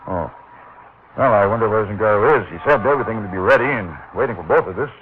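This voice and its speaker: A man portrays an anxious, well-mannered client in a vintage radio drama. He speaks in a lowish voice, flustered and hesitant. Faint noise and dullness mark the old recording. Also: expressive, quick pace, phone-quality sound, lightly acted. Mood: anxious, pleading. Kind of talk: 1940s radio acting.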